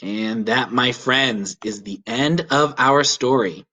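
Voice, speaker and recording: A man reading a children's story aloud as a teacher would, with clear, deliberate, emphatic phrasing. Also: mid-range voice, pushed and bright. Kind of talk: children's read-aloud narration.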